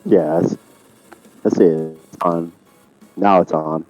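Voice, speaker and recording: A man with a very low voice, narrating a tabletop game as game master over voice chat, talking slowly and calmly. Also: soft background music, rough recording. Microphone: headset over voice chat.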